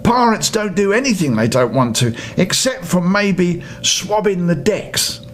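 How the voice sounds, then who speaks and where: A man's voice: animated, a little slow. An older man reading a children's story theatrically, with playful asides to the listener. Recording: noisy home recording with rumble.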